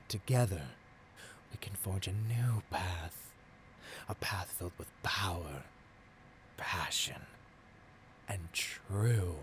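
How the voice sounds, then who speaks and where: A man's voice: sits low, a little hiss or hum. A man playing a seductive villain tempting a hero in a scripted ASMR roleplay, speaking intimately and theatrically and often dropping toward a whisper. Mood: soothing, seductive.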